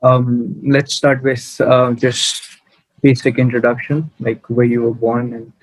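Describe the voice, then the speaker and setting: A man's voice: medium pitch, little pitch movement, a little slow, no echo, rough recording. A young man, hosting a casual podcast interview. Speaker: podcast host.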